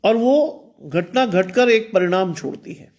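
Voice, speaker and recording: A man's voice: high-pitched, animated, unhurried, darkish tone. A man preaching an online sermon in with some English, earnest and exhorting, speaking with a sore throat. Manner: earnest, exhorting, repeats phrases. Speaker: pastor.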